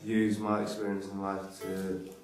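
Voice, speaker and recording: A man's voice: low voice, monotone, measured pace, over music. A man in his twenties earnestly answering interview questions about volunteering. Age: 20s. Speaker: returned volunteer. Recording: echoey room from far off.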